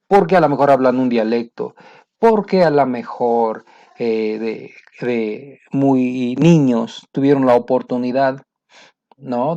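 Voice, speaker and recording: Latin American man teaching, mellow muffled voice. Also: medium pitch. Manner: conversational, pausing often with fillers. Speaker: English teacher. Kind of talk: motivational video lesson. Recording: muffled lo-fi recording.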